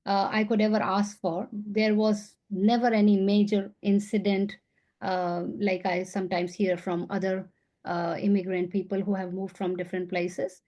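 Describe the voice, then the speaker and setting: A woman's voice: medium pitch, level tone, moderate pace, dark tone. A woman from India, a podcast guest, telling her story in earnest, casual interview talk.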